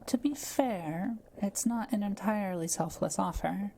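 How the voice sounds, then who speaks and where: A woman playing a kind, curious forest witch in an intimate audio roleplay, speaking gently and thoughtfully with polite, measured phrasing. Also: medium pitch, gravelly, slight background noise. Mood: warm, thoughtful. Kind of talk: intimate scripted audio roleplay addressed to the listener.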